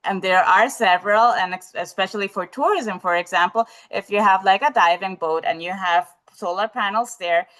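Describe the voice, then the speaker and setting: A woman's voice: mid-range voice, moderate pace, few pauses, fairly bright tone. A woman presenting in a live webinar over a video call, talking with many fillers and restarts as she works through her answer.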